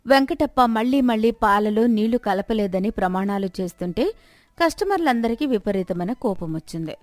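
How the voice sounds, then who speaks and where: A woman's voice: a little high, expressive, moderate pace, no echo. A woman narrating a scripted moral story as a storyteller.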